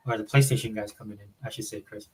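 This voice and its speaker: A man's voice: on the low side, steady tone, fast talker, slightly rough. A man hosting a casual podcast chat, rambling with many fillers and restarts. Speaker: podcast host.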